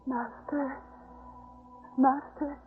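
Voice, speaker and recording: A woman playing a humble penitent with halting, reverent delivery in a 1950s radio drama. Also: high voice, flat delivery, faint music behind. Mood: awed, pleading. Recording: tinny vintage 1950s radio recording.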